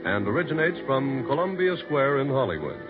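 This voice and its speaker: A man's voice: medium pitch, very animated, fairly quick. A man announcing program credits in a 1940s network radio style. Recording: tinny 1940s radio, loud music.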